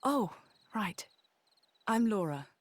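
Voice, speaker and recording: A kind young woman adventurer, speaking slowly and gently. She is reassuring and encouraging, recorded dry and close for a game cutscene. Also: medium pitch, very animated, dry recording. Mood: warm, friendly. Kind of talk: video game cutscene acting.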